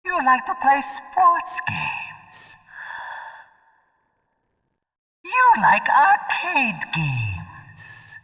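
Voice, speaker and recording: An actor playing a menacing, taunting psychic villain in a video game, speaking in a theatrical, drawn-out way. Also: male voice, very high voice, huge pitch swings, in a large hall, full character voice. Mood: gloating, eerie. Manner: theatrical, drawn-out, swooping from loud cries to whispers.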